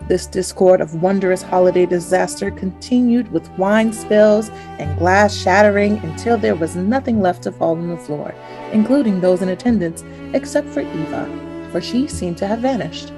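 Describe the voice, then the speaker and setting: A woman's voice: medium pitch, moderate pace, music in the background, natural voice. A woman playing a wry, gleeful narrator, telling a radio play story in florid scripted prose.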